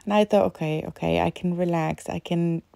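A woman's voice: fairly low pitch, steady tone, a little fast, gravelly, dark tone, no room sound. A woman in her thirties from the UK, a mum of three, chatting candidly in a home vlog voice-over about her worries and chores. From United Kingdom. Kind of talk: casual voice-over narration.

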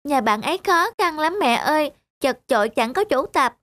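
A woman's voice: high voice, animated, moderate pace, a bit bright, no echo. A woman voicing a kind, spirited little ballerina girl in a cartoon, earnest and childlike. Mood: friendly, earnest. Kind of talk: cartoon dubbing.

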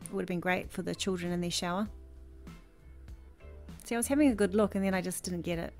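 A woman's voice: lowish voice, fast talker, a little mellow. A woman in her thirties, a chatty vlogger talking over her shopping footage from her bedroom, gushing and rambling. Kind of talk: casual voice-over chat. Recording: music faintly behind.

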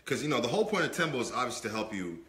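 A man's voice: mid-range voice, sing-song, very fast, pushed and bright. A man in his twenties co-hosting a casual podcast, emphatic and repeating himself, his voice a bit under the weather. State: under the weather, voice not fully clear. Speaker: podcast co-host. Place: indoor room with brick and wood-panel wall. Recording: echoey room.

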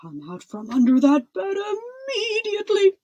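A woman's voice: a little high, sing-song, measured pace, breathy edge, no echo, muffled recording, full character voice. A woman voicing a stern, exasperated king and father in a storybook, commanding and blustering. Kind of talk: storybook character voice.

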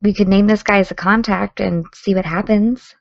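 A woman playing a determined, sardonic teenage podcaster in an audio drama, with a dry, brisk delivery. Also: mid-range voice, fairly even intonation, creaky, no echo, highs cut off, close to an everyday voice. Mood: focused, matter-of-fact. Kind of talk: naturalistic audio drama dialogue.

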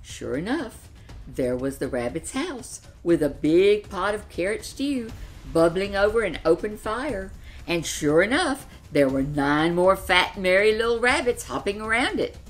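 Older woman reading a story, lively intonation. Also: mid-range voice, measured pace, faint music behind. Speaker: storyteller reading a children's picture book. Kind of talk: expressive read-aloud storytelling. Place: furnished living room, seated in an armchair.

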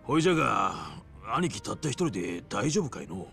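A man's voice: lowish voice, very animated, brisk, vocal fry, a bit bright, faint music behind, full character voice. A man playing a blunt, anxious yakuza with a Hiroshima accent in a video game drama. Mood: worried.

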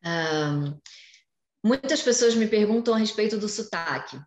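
A woman in her forties, a journalist guest, explaining in a lively way during a video-call interview from home. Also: mid-range voice, measured pace. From Brazil. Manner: animated, explanatory, gestures while talking. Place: home room with shelves. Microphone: video call webcam. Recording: echoey room on video call.

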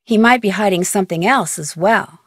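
A woman's voice: medium pitch, normal speed, no echo, clean studio sound, close to an everyday voice. A young woman voicing an inquisitive, plucky amateur detective in a video game, talking conversationally as if on the phone. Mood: pondering, suspicious.

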